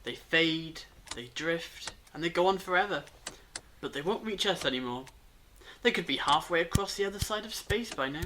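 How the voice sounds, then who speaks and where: An actor playing a cryptic, knowing investigator, explaining things in measured audio drama dialogue. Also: medium pitch, lively intonation, sharp tone. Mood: reflective. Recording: faint background noise.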